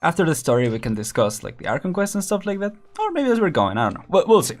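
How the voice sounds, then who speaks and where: A man's voice: a little high, huge pitch swings, fast, faint music behind. A man casually commenting while streaming a game, rambling and laughing often.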